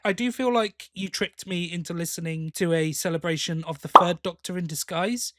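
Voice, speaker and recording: A man co-hosting a podcast, chatting casually through reviews and tentatively softening his opinions as he goes. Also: high voice, fairly even intonation, normal speed, dry recording.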